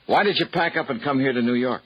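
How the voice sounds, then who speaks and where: A man's voice: mid-range voice, quick pace, slightly rough, lightly acted. An actor playing a blunt, needling male insurance investigator in an old radio drama, recorded on a dull lo-fi broadcast. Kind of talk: 1950s radio drama acting.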